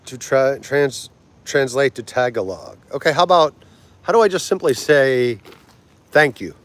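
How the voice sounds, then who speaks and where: A man, hosting a casual livestream, laughing easily and chatting with viewers. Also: mid-range voice. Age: 40s. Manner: chatty, laughs easily, tries foreign words slowly. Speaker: livestream host.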